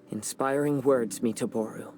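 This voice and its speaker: An actor playing a calm, courteous young wanderer in a video game, gentle and measured. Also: male voice, mid-range voice. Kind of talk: video game character acting. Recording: faint plucked-string music behind the voice. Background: soft guitar-like game music.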